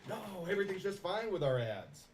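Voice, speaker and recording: A man's voice: sits high, sing-song, fairly quick, far from the mic, a little hiss or hum, pitched above the performer's own voice. A man playing a scheming, smug businessman who fakes concern with unctuous sincerity in a one-sided phone monologue. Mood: falsely chummy. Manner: unctuous false sincerity, theatrical asides. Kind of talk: dramatic monologue, one side of a phone call.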